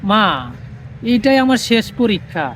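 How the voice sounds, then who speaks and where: A man's voice: very high-pitched, moderate pace, fairly bright tone, a little hiss or hum. A young man acting an anxious, pleading student brother in a family drama, with a rural Bangladeshi accent. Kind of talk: naturalistic Bengali TV drama acting.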